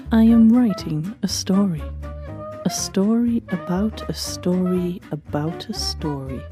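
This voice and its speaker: Female spoken interlude, low-pitched deliberate recitation, very unhurried, over plucked guitar music.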